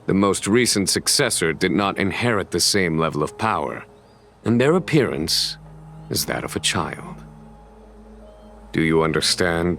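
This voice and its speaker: An actor playing a grave, composed general in a video game cutscene, speaking in a solemn, deliberate and formal way. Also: male voice, sits low, fairly bright tone, a little hiss or hum, exaggerated voice. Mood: calm, weighty. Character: grave, composed male general, measured and commanding.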